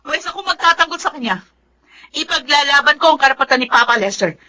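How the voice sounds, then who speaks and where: A woman's voice: sits high, expressive, quick pace, pushed and bright. A woman with a Filipino accent acting an aggrieved, defiant daughter in a melodramatic radio drama. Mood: bitter, defiant. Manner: confrontational, emotional, accusing. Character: defiant, aggrieved grown daughter defending her dead father.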